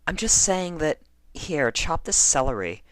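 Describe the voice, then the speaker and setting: Casting: male narrator playing bossy, caring female pony for an audio story; raised pitch, lively swings, sarcastic and brisk. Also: dry recording. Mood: scolding. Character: practical, scolding, caring female pony housemate.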